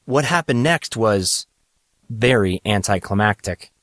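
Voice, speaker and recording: A man reading scripted fantasy fiction as an audiobook narrator, with deliberate, dramatic storytelling. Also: lowish voice, lively intonation, fairly bright tone, no echo, clean studio sound.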